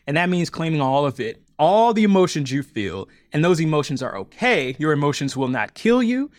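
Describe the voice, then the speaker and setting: A man in his thirties, a podcast guest talking about his audio fiction, animated and emphatic, often laughing and putting on quoted voices. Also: on the high side, no echo. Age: 30s. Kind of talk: conversational interview.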